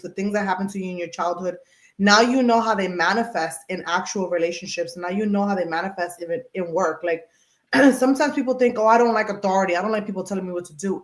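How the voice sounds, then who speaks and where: A woman hosting a podcast in casual chat, talking in an animated, emphatic way and explaining with everyday examples. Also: fairly low pitch, echoey room. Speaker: podcast host.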